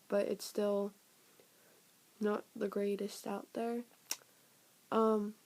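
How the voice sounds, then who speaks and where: A young woman reviewing a phone software update in a rambling, casual way, her voice raspy and sniffly from a cold. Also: medium pitch. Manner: rambling, filler-heavy, trailing off. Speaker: tech vlogger.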